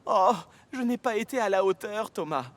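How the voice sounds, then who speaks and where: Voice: mid-range voice, lively intonation, moderate pace, natural voice. An actor voicing an earnest, touchy young male steam engine in a French cartoon, emotional and plaintive. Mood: dejected. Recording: music behind the voice.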